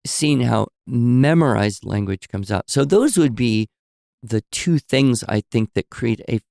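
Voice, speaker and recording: A man co-hosting a podcast, telling stories in a relaxed conversational way and explaining with examples and asides. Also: mid-range voice, measured pace, gravelly, dry recording, studio quality. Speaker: podcast co-host. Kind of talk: conversational storytelling.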